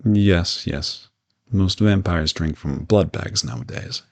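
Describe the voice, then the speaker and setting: Male actor as a courteous vampire, deep creaky voice, reassuring, playful, intimate audio roleplay. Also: slow, exaggerated voice. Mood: conversational, friendly. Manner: soft-spoken reassurance, politely formal with teasing asides.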